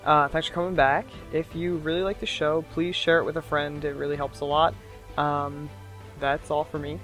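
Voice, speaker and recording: Guy doing a chill show intro, higher voice, faint music behind. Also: little pitch movement, moderate pace, a bit bright. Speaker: show host.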